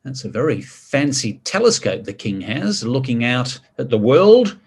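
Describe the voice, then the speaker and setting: A man reading a picture book to children, expressive, drawing out words and adding chatty asides and questions. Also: mid-range voice, unhurried, breathy edge. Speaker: story reader.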